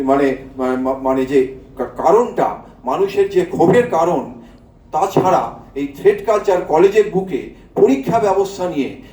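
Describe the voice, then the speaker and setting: Male doctor addressing a mass meeting, West Bengal accent, high voice, deliberate delivery, heard through hall echo. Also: mellow. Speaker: doctor speaking at a convention. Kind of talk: public speech at a mass convention. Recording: echoey hall PA, distant mic.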